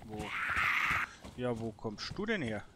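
A man talks his way through a game in a casual tone. His voice sits at an average pitch, and he mutters and muses as if to himself. There is clear noise behind him. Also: very animated, takes it slowly. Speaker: gaming YouTuber, let's player. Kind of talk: casual solo gameplay commentary, thinking aloud.

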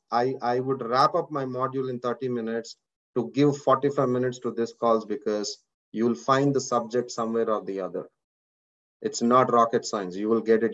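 A man's voice: mid-range voice, highs cut off. A man with an Indian accent, a course instructor giving an earnest motivational talk to students on a video call, repeating words and using many fillers.

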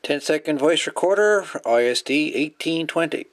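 A man's voice: on the high side, expressive, normal speed. A man hosting a casual hands-on electronics demo, rambling and thinking aloud as he goes. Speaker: hobbyist video host.

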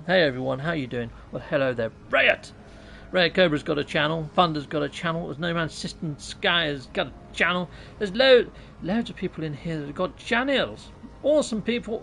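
Lively, gravelly British man streaming a game. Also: a little high, slight background noise. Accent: British English. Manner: jokey, self-mocking, chats with viewers. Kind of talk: casual live-stream chat while playing. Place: small room at home. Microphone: USB mic on top of a laptop.

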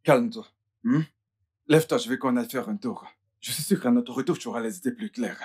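An actor dubbing a family doctor who calms his friend, repeating himself insistently. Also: medium pitch, a little fast, slightly rough, close to an everyday voice. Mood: coaxing. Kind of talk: dubbed film acting.

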